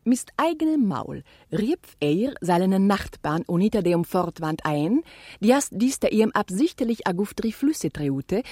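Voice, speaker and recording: A woman's voice: medium pitch, a little mellow, no room sound, studio quality. A woman reader in a radio play, reciting a playful literary text in a stylised way.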